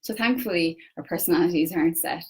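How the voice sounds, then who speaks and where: A young Irish woman with an Irish accent gives an earnest solo livestream talk, with many pauses and restarts. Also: fairly low pitch, a little mellow, slightly rough. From Ireland. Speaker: personal development coach. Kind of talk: solo Facebook Live talk.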